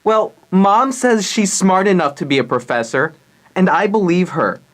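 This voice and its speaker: A woman's voice: fairly low pitch, lively intonation, normal speed. A woman voicing an eager nine-year-old boy who believes in fairy tales, in a live radio theater reading. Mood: earnest, dreamy.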